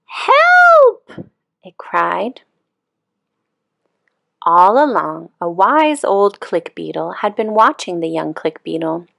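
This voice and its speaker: Calm lady reading a kids bedtime story, lowish creaky voice, takes her time. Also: slow, pauses often. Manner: calm, expressive. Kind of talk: children's picture book read-aloud.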